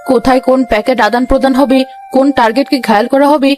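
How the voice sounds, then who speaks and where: A woman's voice: high voice, steady tone, racing, close to an everyday voice. A woman voicing a bossy, nosy, middle-aged mother-in-law in a cartoon, accusing and dramatic. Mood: bitter, accusing. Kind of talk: animated comedy story acting. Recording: loud music behind the voice. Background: music.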